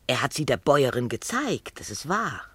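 An actress playing a timid, superstitious young farm maid in a German radio drama, hesitant, naive and deferential. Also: female voice, a bass voice, very animated, normal speed, slightly rough, no room sound, exaggerated voice. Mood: fearful, secretive.

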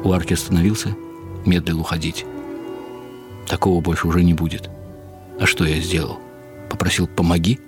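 Mature male narrator for literary radio drama: very low voice, reflective, expressive delivery over music. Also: brisk, pauses often.

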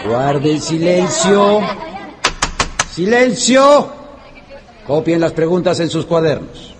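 An actor playing a stern, authoritative male schoolteacher who speaks deliberately in a radio drama. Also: on the high side, sing-song, crowd noise, background noise. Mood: commanding. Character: stern male schoolteacher commanding his classroom. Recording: long hall reverb, distant.

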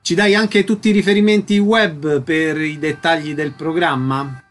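A male radio interviewer with a fairly high-pitched voice. He puts short questions to guests and makes concise announcements as host. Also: steady tone, normal speed, soft background music.

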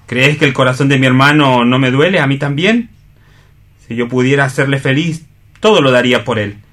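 A man's voice: medium pitch, fairly quick, a bit bright, lightly acted. An actor playing a tormented, pleading young man in love in a radio theatre reading, earnest and emphatic.

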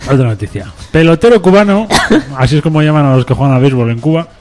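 A man's voice: a little high, expressive, quick pace, a little mellow. A man, co-hosting a radio show and reading news items in a casual, joking way with frequent laughs. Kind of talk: casual news chat, half read.

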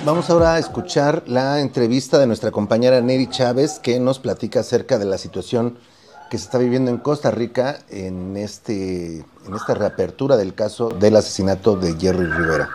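A man's voice: mid-range voice, moderate pace. A man presenting on radio, introducing a report. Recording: music under the voice.

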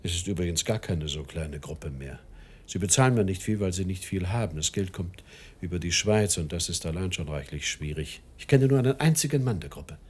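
An actor playing a secretive, earnest and self-important American broadcaster in a German radio play, husky and confiding, with halting, deliberate phrasing. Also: male voice, sits low, lively intonation.

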